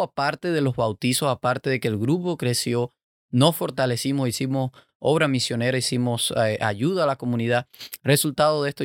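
A young Cuban man with a Cuban Spanish accent, telling his church story in a casual, halting way full of fillers. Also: medium pitch, dry recording, clean studio sound. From Cuba. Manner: halting, many fillers and restarts. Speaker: podcast co-host. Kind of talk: casual testimony chat.

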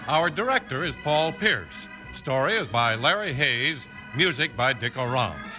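A man's voice: mid-range voice, big pitch swings, measured pace, music in the background, low-quality recording. A man announcing in polished, emphatic 1950s radio style, reading scripted copy. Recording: old radio broadcast recording.

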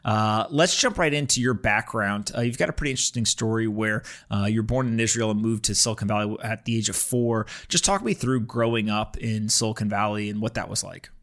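A man's voice: on the low side, fairly quick, few pauses, a bit bright. A man hosting a podcast, talking casually as he reads sponsor spots and interviews guests.

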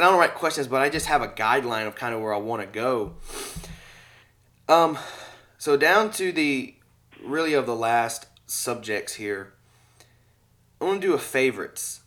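A man with a drawl hosts a relaxed podcast. His voice sits at an ordinary middle pitch. He rambles through his points and chuckles between remarks. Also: measured pace, long pauses. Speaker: podcast host. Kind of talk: casual interview chat.

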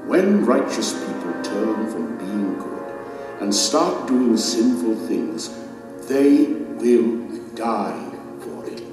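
A majestic king judging souls in an audio drama. He is solemn, stern and deliberate, with dramatic rises and falls in his speech. His words ring out through a vast echo over music. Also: fairly low pitch, big pitch swings. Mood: grave judgment. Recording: cavernous long reverb under dramatic music.

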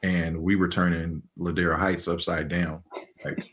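A man in his forties with a very deep voice, talking about his life as a guest on an interview. He speaks in a loose, relaxed way, full of fillers, and often breaks into laughter. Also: normal speed. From Los Angeles, California. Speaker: animation director, guest. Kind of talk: casual interview talk.

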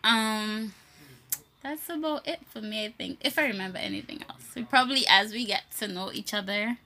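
A woman's voice: medium pitch, moderate pace, pushed and bright. A woman in her thirties with a Guyanese accent, chatting casually and laughing about her faith as co-host.

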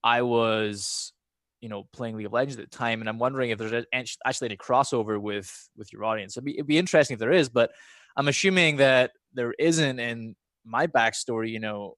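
A man's voice: medium pitch, brisk, fairly bright tone, no echo. Scottish-American man in casual conversation. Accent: half Scottish, half American. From Scotland. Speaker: podcast guest, former pro gamer. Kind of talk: casual chat, personal storytelling.

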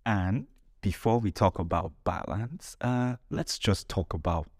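A man's voice: lowish voice, measured pace, a little mellow, dry recording, studio quality. A man hosting a podcast, telling stories casually and with humour, acting out dialogue as he goes. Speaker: podcast host. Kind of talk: casual humorous storytelling podcast monologue.

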